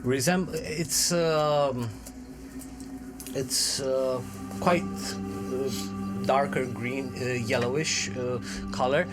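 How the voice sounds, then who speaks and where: A man narrating as game master for a tabletop game, halting with many fillers. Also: mid-range voice, fairly even intonation, over music.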